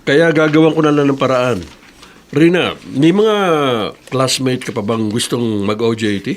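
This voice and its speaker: An actor playing a reasonable, accommodating Filipino office boss with a measured, conciliatory radio drama delivery. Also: male voice, mid-range voice, animated, natural voice. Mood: apologetic, practical. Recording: faint music behind.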